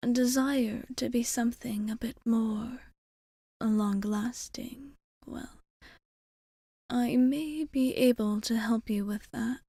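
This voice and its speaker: Woman playing a soft, creaky-voiced vampire bartender. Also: a little high, no room sound, studio quality, exaggerated voice. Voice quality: breathy, often slipping into whisper. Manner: soft-spoken, hesitant, formal old-fashioned phrasing. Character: gentle, courteous female vampire bartender, tenderly devoted immortal. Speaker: ASMR performer. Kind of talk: ASMR roleplay addressed to the listener.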